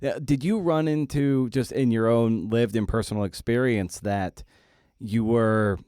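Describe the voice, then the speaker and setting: A man hosting a casual podcast interview, talking in a halting, rambling way as he circles toward his questions. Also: mid-range voice, fairly even intonation, warm tone, clear, resonant tone, no echo, studio quality. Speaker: podcast host.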